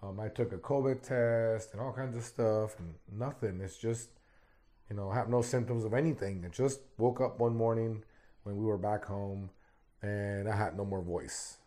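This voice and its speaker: Male podcast host, warm dark tone, rambling solo talk, voice still recovering. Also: lowish voice, steady tone, moderate pace. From Arizona, United States. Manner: rambling, repeats words often. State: recovering from lost voice.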